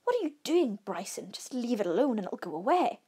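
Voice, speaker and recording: A woman voicing an unbothered male camping companion in a storybook read-aloud, deadpan, dismissive and mocking. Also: on the high side, fairly quick, breathy edge. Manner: deadpan, implacable.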